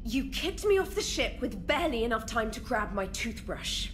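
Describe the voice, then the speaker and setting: A woman's voice: fairly high pitch, big pitch swings, moderate pace, slight background noise. A woman playing a flustered, articulate starship technician in a video game, fussy and precise in manner. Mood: indignant, bewildered. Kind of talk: cinematic video game acting.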